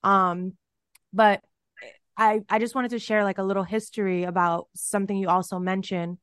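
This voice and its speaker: Casual woman host, smooth voice. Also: medium pitch, fairly even intonation, moderate pace, a bit bright, dry recording, studio quality. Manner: casual, lots of "like" fillers. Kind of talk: casual interview conversation.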